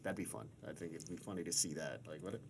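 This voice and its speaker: Low-pitched man hosting a casual chat. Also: fast talker, background noise. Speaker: interview host.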